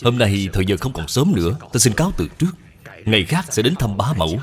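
A courteous young gentleman of a cartoon tale, talks in a low, lively voice. He is quick, formal and reassuring. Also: a crowd in the background. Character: courteous, persuasive young gentleman suitor of means. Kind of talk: cartoon dub acting.

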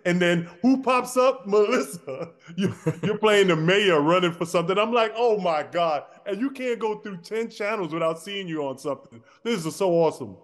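Middle aged guy cohost, slightly high voice, jokey gushing casual chat. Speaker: podcast co-host. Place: indoor room, video call.

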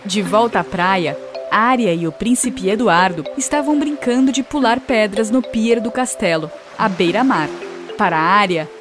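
A woman narrating a children's cartoon story in a clear, expressive storytelling manner. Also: mid-range voice, moderate pace, music in the background.

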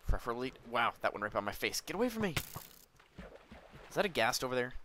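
A young man casually commenting on his own gameplay, talking himself through each move, his voice a little hoarse and cracking, with sudden yelps when he gets hurt. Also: mid-range voice, big pitch swings, quick pace, noisy background. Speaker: Minecraft let's player.

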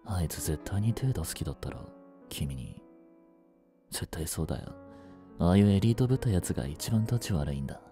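A young man acting a jealous, possessive yandere boyfriend in a romantic voice drama, with a soft, coaxing, controlling manner that is sweetly menacing. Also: deep voice, animated, a little fast, faint music behind. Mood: seething jealousy. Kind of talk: Japanese romantic situation voice drama.

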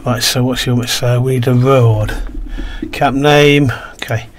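Croaky-throated man narrating a game. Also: medium pitch, steady tone, takes it slowly, slight background noise. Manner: mumbles to himself while playing, reads game text aloud. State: croaky throat, slight cough. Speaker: gaming video host. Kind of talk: casual let's-play commentary.

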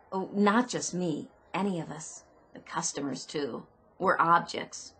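A woman's voice: lowish voice, husky, full character voice. An actress playing a kindly, deferential female shop clerk in a radio drama, speaking hesitantly and soothingly.

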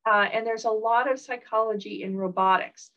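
A woman's voice: a little high, moderate pace. Woman, Southern US accent, professor, online lecture, lots of ums, slightly dull computer mic. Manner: relaxed, frequent ums and uhs.

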